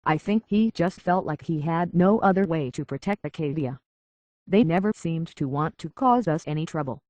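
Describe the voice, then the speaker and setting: A woman's voice: deep voice, expressive, moderate pace, darkish tone, no room sound, highs cut off. Conflicted young woman from a video game, sincere n hesitant, really dry close sound. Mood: firm, defensive. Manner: hesitant, sincere, emotionally vulnerable. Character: earnest, conflicted young woman searching for her identity.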